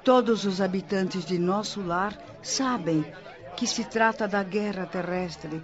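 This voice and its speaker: A man's voice: high-pitched, takes it slowly, some chatter behind. An actor playing an earnest male resident of a spirit colony in a radio drama. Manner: earnest, informative. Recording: dull lo-fi radio recording with music.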